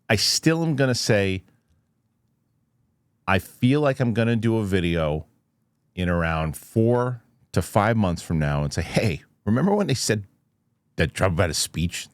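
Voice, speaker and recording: A man hosting a casual news commentary show, thinking aloud in a halting, skeptical way and posing rhetorical questions. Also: sits low, expressive, no room sound, clean studio sound.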